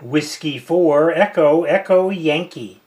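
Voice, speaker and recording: A man's voice: on the high side, takes it slowly. A man from the United States speaking casually as an amateur radio operator, commenting and giving phonetic call signs. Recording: faint background radio static.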